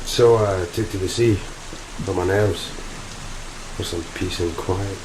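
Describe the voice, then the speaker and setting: Slow, deep, brooding sailor. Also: male voice, background noise. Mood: brooding, sardonic. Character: grim, menacing male sailor, gangster's son from Moscow. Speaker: actor in an audio drama. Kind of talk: gothic horror audio play acting. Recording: steady rain and thunder, roomy echo.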